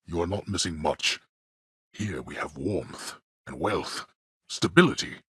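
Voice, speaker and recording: Casting: imperious underworld god for a game, very deep, husky, commanding, cold formal delivery. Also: male voice, a little slow, fairly bright tone, no echo, studio quality, heavily acted. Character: stern imperious male god of the dead. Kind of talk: video game voice acting.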